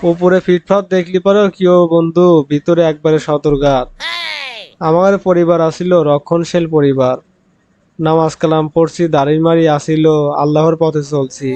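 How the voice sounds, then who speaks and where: Man acting an eager village buddy, highish voice. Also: steady tone, normal speed, warm tone, lightly acted. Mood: rueful. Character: eager, hospitable young village friend, henpecked in love. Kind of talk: comic cartoon dialogue. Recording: dull lo-fi audio, music underneath.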